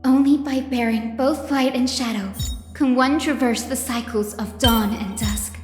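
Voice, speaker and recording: A woman voicing an earnest, gentle young heroine in a fantasy game, speaking poetically. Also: fairly high pitch, a little slow, natural voice. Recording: echoing hall, music behind.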